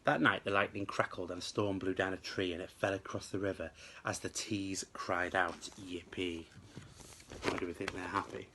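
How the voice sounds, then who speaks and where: A man reading a children's storybook aloud like a teacher to pupils, with expressive, emphatic, rhythmic delivery. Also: low-pitched, fairly quick, noisy background.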